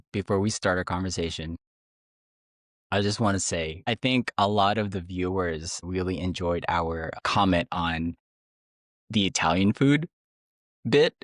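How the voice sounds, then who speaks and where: A man's voice: sits low, unhurried, no echo, studio quality. A man from the Philippines, co-hosting a podcast in a chatty, easygoing way and laughing easily. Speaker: podcast co-host. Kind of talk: casual chat.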